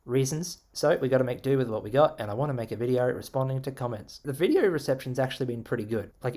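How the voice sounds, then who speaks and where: An Australian man casually answering viewer comments, animated and sarcastic with plenty of swearing. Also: mid-range voice. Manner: animated, expressive, sarcastic and profane.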